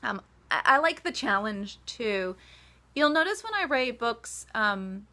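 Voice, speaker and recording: A woman's voice: a little high, big pitch swings, a little slow, sharp tone. A woman author answering reader questions in a casual, chatty livestream style with emphatic asides.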